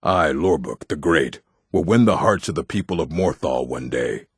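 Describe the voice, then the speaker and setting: Very deep, gravelly orc man. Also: expressive, moderate pace, no echo, studio quality, exaggerated voice. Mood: jovial, theatrical. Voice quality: gravelly, husky. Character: gruff adult male orc of a fantasy stronghold. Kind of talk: fantasy video game character dialogue.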